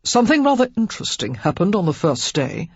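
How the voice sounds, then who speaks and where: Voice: medium pitch, very animated, fairly quick, a little mellow, breathy edge, dry recording, highs cut off. A narrator playing an impatient aristocratic man who speaks abruptly and dryly. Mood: curt. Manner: abrupt, dry, sardonic. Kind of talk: audiobook character voice.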